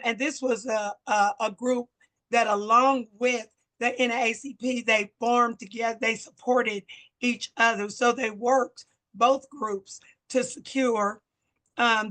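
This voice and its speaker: An older woman from Missouri, a bit hoarse, giving an earnest talk at a community meeting as a chapter president. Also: fairly high pitch, bright, forceful tone, rough recording. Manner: earnest, explanatory, short phrases with fillers. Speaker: guest speaker, local civil rights chapter president.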